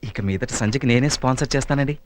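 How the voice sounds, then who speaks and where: A man's voice: medium pitch, fast, background noise, natural voice. An actor playing an earnest, easily flustered young man in a film comedy. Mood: indignant. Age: young adult. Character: earnest young man offering to be a secret sponsor. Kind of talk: Telugu film comedy dialogue. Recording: film soundtrack with loud background music.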